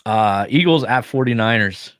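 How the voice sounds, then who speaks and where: A man's voice: medium pitch, animated, moderate pace, a bit bright, dry recording, clean studio sound. A man hosting a casual sports podcast, giving opinionated, joking football picks while losing his voice. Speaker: podcast host.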